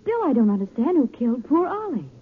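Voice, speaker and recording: A woman's voice: high voice, very animated, a little fast, husky, exaggerated voice. An actress playing an alarmed, earnest female companion of a detective in a 1940s radio drama. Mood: shocked. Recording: tinny 1940s radio recording.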